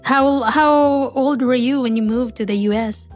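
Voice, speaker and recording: A woman's voice: sits high, unhurried, soft background music. A woman from the Philippines hosting a podcast interview over a video call, chatty and rambling. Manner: chatty, rambling, with repeated restarts. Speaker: podcast host.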